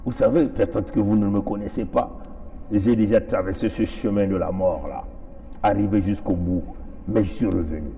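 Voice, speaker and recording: Dark-voiced Congolese man, impassioned political address. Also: lowish voice, fairly even intonation, moderate pace. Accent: Congolese French. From Congo-Brazzaville. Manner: emphatic, repeating phrases for effect. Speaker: political activist.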